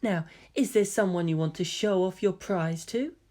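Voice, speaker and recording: A woman voicing a coy, androgynous hybrid youth with genteel, gently teasing politeness and quiet menace. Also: lowish voice, moderate pace, lightly acted. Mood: gentle, gracious.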